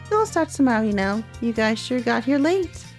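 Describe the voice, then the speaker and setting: A woman voicing a bubbly, bossy, energetic young girl in a visual novel, chirpy and eager. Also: a little high, normal speed, soft background music, voice pushed up. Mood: bright, bossy.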